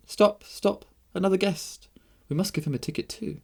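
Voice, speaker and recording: A man voicing a lively, imperious young princess in an audiobook, teasing and commanding with bright exclamations. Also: fairly high pitch, fairly quick, gravelly, husky, voice pushed up, exaggerated voice. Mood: excited, playful. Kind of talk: audiobook character voice.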